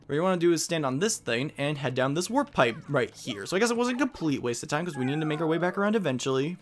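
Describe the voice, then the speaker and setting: High-voiced man doing game commentary. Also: animated, fast talker. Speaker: gaming video host. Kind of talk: casual let's play commentary.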